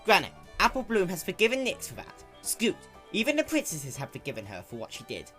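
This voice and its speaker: A man's voice: high-pitched, huge pitch swings, a little fast, bright, forceful tone, soft background music, voice pushed up, exaggerated voice. A man voicing a sensible young female farm pony, speaking firmly in a dramatic story reading. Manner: firm, commanding, folksy.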